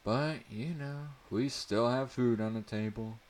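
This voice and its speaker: A man's voice: medium pitch, unhurried, darkish tone, voice pushed up, close to an everyday voice. A man voicing a weary, stern working mother who complains in an exasperated way, in a dramatic story reading.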